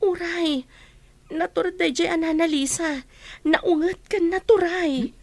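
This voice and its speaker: A woman's voice: very high-pitched, fairly even intonation, slow, darkish tone, no room sound, heavily acted. A woman acting an earnest, secretive young student in a radio drama. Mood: uneasy. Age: young adult.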